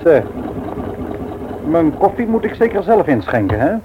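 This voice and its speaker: A man's voice: a little high, sing-song, a little slow, background noise. An actor playing a grumbling, teasing old husband and grandfather in a radio play, muttering and repeating himself. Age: older. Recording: dull old radio recording.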